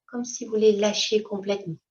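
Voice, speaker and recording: A woman teaching yoga nidra, leading a slow guided meditation in a calm, unhurried way with short, gentle instructions. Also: mid-range voice. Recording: dull, lo-fi sound.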